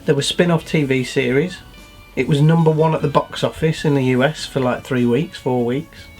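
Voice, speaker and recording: A man's voice: medium pitch, steady tone, moderate pace, darkish tone, faint music behind. A British man co-hosting a casual film discussion, speaking bluntly about his opinions. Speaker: podcast co-host.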